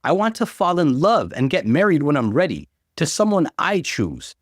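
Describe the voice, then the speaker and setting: A man's voice: fairly high pitch, sing-song, moderate pace, dry recording, clean studio sound, voice pushed up. A man voicing a defiant, upset young woman in a story, emphatic and exclaiming. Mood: indignant.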